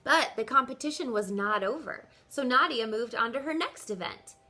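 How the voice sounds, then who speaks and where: A woman with a fairly high, very bright voice reads aloud as a teacher would for a group of children. She is expressive and speaks straight to her young listeners, drawing them in with questions. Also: moderate pace.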